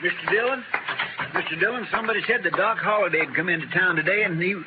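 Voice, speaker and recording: An actor playing an eager, deferential, slightly flustered marshal's helper in a 1950s western radio drama. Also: male voice, sits high, fast talker, close to an everyday voice. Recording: noisy, muffled 1950s radio recording.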